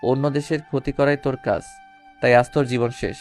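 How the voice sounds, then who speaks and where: A man's voice: medium pitch, steady tone, fairly quick, a little mellow, faint music behind, close to an everyday voice. A man playing a confident, defiant young secret gangster boss in a dramatized audio story. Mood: cold, boastful.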